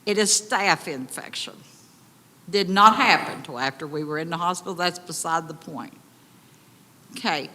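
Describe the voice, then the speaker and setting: Loud, bright-voiced woman in an echoey hall. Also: on the low side, normal speed, pauses often. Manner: loud, big voice; urgent, pleading, indignant. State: upset. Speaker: resident addressing county commissioners. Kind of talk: public comment at a meeting. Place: county commission meeting room. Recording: echoey hall, distant reverb.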